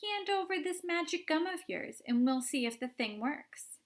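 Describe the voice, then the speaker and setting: A woman voicing a spoiled, stubborn gum-chewing young girl in a storybook read-aloud, loud and demanding. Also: very high-pitched, lively intonation, moderate pace, pitched above the performer's own voice.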